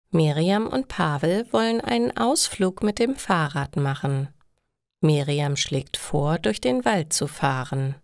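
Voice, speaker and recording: Slow, low-pitched woman reading stories. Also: no echo, clean studio sound. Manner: careful, simple, clearly separated phrases. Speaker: narrator of stories for language learners. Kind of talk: scripted storytelling narration for learners.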